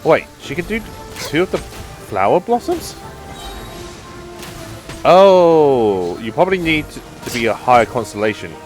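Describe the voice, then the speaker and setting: A man's voice: medium pitch, very animated, unhurried, pauses often, soft background music. A man hosting a casual game playthrough, thinking aloud with small interjections as he plays. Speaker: gaming video host.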